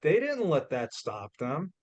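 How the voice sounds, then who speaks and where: A man with a New York accent speaks loudly and bluntly, with emphatic motivational punch. He talks slowly, and his voice is a little high for a man. The sound is dry and close, with almost no room echo. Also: wildly swooping pitch, highs cut off. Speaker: guest speaker. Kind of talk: motivational talk.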